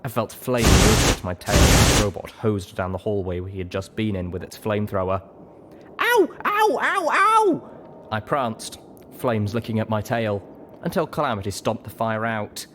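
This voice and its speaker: A man reading a story aloud as expressive, scripted first-person narration. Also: lowish voice, normal speed, a little hiss or hum. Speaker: audiobook reader.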